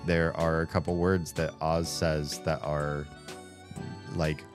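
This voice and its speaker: Deep-voiced Southern US man rambling. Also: little pitch movement, takes it slowly. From Southern United States. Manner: rambling, self-interrupting, wry. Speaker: streamer. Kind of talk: casual livestream chat while gaming. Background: background music.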